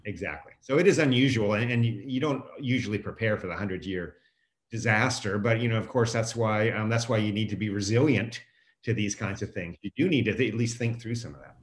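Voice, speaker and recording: A man's voice: on the low side, fairly quick. An American man answering as a guest expert, explaining things in a casual, conversational way.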